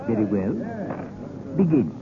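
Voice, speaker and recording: An actor playing an amused, commanding gentleman with a mocking, lordly manner in an old radio drama. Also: fairly low pitch, animated, measured pace. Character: amused, commanding gentleman stranger among thieves. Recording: tinny old radio recording, loud crowd behind.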